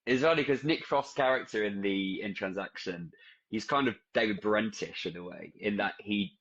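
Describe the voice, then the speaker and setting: A man interviewing a guest, halting and restarting his phrases, over a video call. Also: on the low side, a bit bright, husky. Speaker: interviewer, journalist. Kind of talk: casual interview. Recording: dull lo-fi video call audio.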